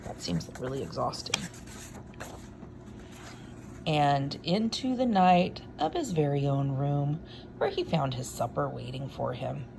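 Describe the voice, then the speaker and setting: A woman reading a picture book aloud in an expressive, unhurried way, with wry, casual asides. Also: deep voice, gravelly, noisy background.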